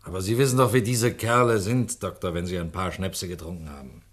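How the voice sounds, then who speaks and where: An actor playing a sceptical, down-to-earth police inspector in a radio play, with a dry, dismissive manner. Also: male voice, sits low, a little fast, natural voice. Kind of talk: German radio play acting.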